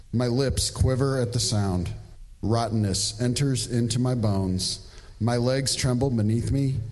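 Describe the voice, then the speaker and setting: A man reading a scripture passage aloud at a church service in a measured, formal way. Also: mid-range voice, a little mellow. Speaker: scripture reader. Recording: distant echoing church room.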